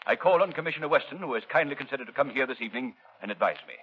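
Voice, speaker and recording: A man playing an officious, anxious opera board chairman in a 1930s radio drama, with a husky voice and formal, brisk delivery. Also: medium pitch, animated. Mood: grave, formal. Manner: formal, brisk, speech-making. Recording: dull, band-limited 1930s radio recording.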